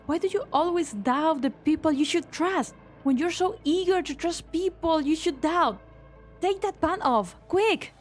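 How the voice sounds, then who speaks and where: High-pitched, earnest woman researcher, acted by a woman. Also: unhurried, faint music behind. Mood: urgent. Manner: earnest, hesitant, expressive. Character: earnest, anxious young female lab researcher. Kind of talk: English fan dub of a game story.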